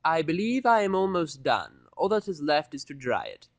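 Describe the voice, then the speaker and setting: A man voicing a refined, gracious, fussy female cartoon pony fashion designer, speaking in a genteel, drawn-out, affected way. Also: a little high, big pitch swings, no echo, voice pushed up. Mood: proud, pleased.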